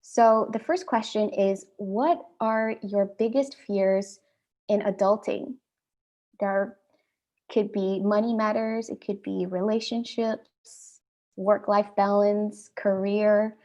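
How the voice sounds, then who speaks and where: A young adult woman with an Indian accent, hosting an online panel talk in a friendly, measured way with frequent ums. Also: medium pitch, steady tone, warm tone, dull audio. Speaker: host.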